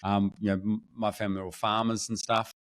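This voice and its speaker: A man in his fifties with an Australian accent, a podcast guest telling his story in a friendly, relaxed way. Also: on the low side, fast. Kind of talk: casual interview chat.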